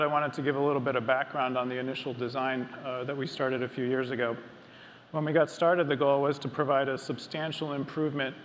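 A middle-aged man presenting to a live audience on a large stage, explaining in a measured way with some ums and restarts. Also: medium pitch, fairly bright tone, slight background noise. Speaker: engineering lead presenting on stage. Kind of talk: technical keynote talk. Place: large dark stage before a seated audience. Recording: long hall reverb, faint ambience.

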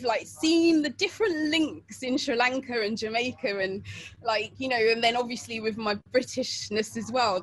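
A woman's voice: fairly high pitch, a bit bright, a little hiss or hum, low-quality recording. Chatty British woman on a webcam call. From England. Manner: chatty, laughs often, many fillers and restarts. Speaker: interviewee. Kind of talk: casual storytelling. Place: outdoor porch at night. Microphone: webcam video call.

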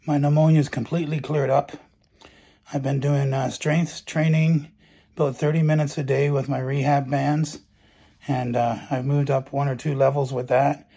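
A middle-aged man with a hoarse, gravelly voice gives a calm, matter-of-fact personal update into a phone camera in his bedroom. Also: mid-range voice, moderate pace. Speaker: vlogger. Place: bedroom at night, indoors. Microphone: phone camera held close.

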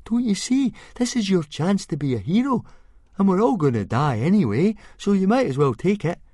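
A man's voice: sing-song, normal speed, dark tone, dry recording, clean studio sound. A man voicing an eager, insistent young Viking boy in a children's storybook. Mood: urgent, insistent. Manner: high-pitched, insistent.

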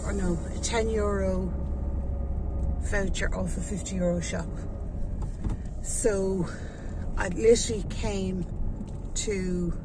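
Woman, forties, Irish accent, mid-range pitch, casual vlogger chat, matter-of-fact, noisy background. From Ireland. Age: 40s. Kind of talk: casual chat about shopping. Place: home kitchen and inside a car. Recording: clicks and clear background noise.

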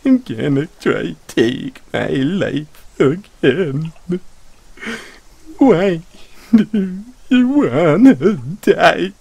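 A man voicing a bitter, tormented frog mascot in an audio roleplay, with a halting, tearful, self-pitying delivery. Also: on the high side, animated, vocal fry, a little mellow, husky, dry recording, full character voice. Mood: mournful singing. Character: weepy, bitter, tormented frog mascot haunting an abandoned amusement park. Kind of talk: audio roleplay monologue with mournful sung counting rhyme.

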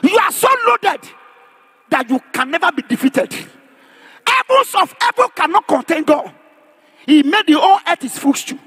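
A man preaching in an emphatic, declamatory charismatic sermon style, often rising to a shout and repeating phrases for emphasis. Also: very high-pitched, very animated, moderate pace. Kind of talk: charismatic sermon with call and response.

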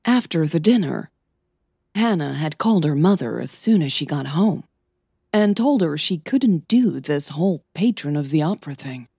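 A woman's voice: low voice, lively intonation, moderate pace, warm tone, breathy edge, dry recording, clean studio sound. A woman reading scripted fiction as the narrator of an audio drama podcast.

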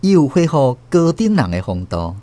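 A man narrating a radio drama in the first person as an expressive storyteller. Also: a little high, normal speed. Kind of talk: dramatic scripted narration in Taiwanese Hokkien.

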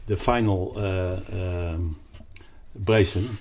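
An older man with a Dutch accent explains a product off the cuff, haltingly searching for words. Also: low voice, steady tone, a little mellow, slight background noise. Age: 60s or older. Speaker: loudspeaker designer presenting his product. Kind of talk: unscripted explanatory product talk. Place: carpeted, wood-panelled listening room indoors.

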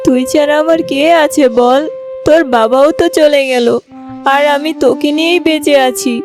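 A woman voicing a caring but scolding village mother in an animated fairy tale. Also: high voice, normal speed, music in the background, lightly acted. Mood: hurt, anxious.

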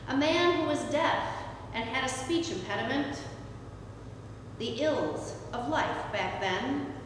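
A middle-aged woman pastor, preaching a reflective sermon from a lectern with deliberate pauses. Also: high voice, a little hiss or hum. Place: church sanctuary, standing at a lectern beside the altar. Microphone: far camera, room sound. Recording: echoey church from far off.

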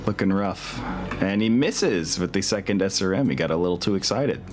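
A man's voice: sits low, animated, moderate pace. A man acting as game master of a streamed tabletop game, thinking aloud as he narrates the results. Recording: music behind.

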